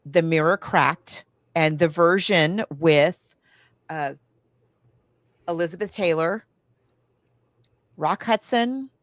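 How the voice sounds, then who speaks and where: Woman, slightly low pitch, enthusiastic, casual podcast discussion, very dry recording. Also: slow, long pauses, no echo. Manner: gushing, enthusiastic. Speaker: podcast co-host. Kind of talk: casual film and book discussion.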